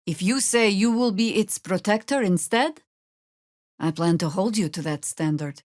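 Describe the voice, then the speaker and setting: A woman voice actor playing a seasoned, older druid warrior in a video game, wry, stern and proud, with measured, commanding delivery. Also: mid-range voice, darkish tone, dry recording, clean studio sound, lightly acted. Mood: resolute. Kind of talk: scripted video game dialogue.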